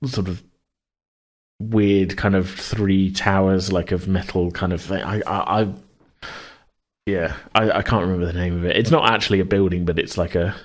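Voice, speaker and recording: Low-voiced man rambling casually, thinking aloud. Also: fairly even intonation, moderate pace. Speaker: podcast co-host. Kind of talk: casual worldbuilding chat.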